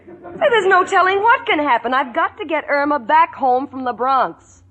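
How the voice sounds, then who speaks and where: Exasperated, sharp-witted roommate, high voice. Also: female voice, lively intonation, moderate pace, muffled recording. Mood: indignant. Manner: articulate, emphatic, indignant wit. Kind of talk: 1940s radio sitcom acting.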